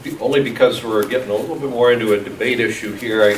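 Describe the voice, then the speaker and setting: A man chairing a town committee meeting, speaking in measured phrases with frequent restarts. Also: medium pitch, steady tone, slight background noise. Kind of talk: public meeting moderation. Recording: echoey meeting room from far off.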